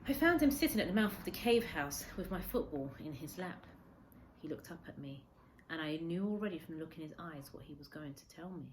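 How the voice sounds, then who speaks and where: A woman reading a story aloud as a teacher, in expressive storybook narration. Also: low-pitched, a little fast, slight background noise, rough recording.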